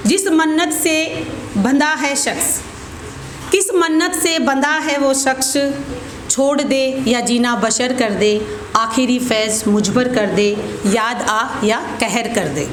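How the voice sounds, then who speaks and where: Woman doing open mic poetry, pretty high voice, repeats her lines, echoey noisy recording. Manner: deliberate, repeats lines for effect. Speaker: poet performing at an open mic. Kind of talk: spoken Hindi-Urdu poetry recital with informal introductions. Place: open mic event room. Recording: echoey room with clear background noise.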